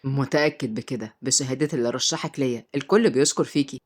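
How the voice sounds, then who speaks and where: A polite, kindly uncle, put on by a woman reader, gentle and courteous in a storybook drama. The voice drops low and soft, with measured manners. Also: slightly rough. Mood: appreciative. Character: courteous, soft-spoken adult man, kind devoted uncle.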